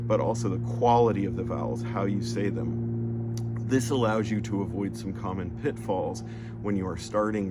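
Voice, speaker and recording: A man teaching a lesson, patiently explaining sounds and drawing out each one slowly as he demonstrates it. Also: on the low side. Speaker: language teacher.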